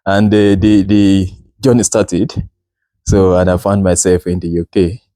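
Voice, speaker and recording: Interview guest: man in his thirties from Nigeria, low-pitched and mellow, halting with fillers, dry close sound. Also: flat delivery, no echo. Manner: hesitant, many fillers and restarts, laughs often. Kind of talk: casual interview chat.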